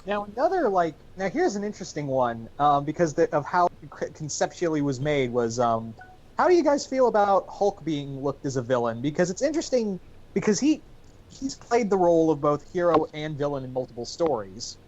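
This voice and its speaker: A man's voice: fairly high pitch, very animated, brisk, darkish tone, highs cut off. A man speaking casually as a panelist in a podcast discussion.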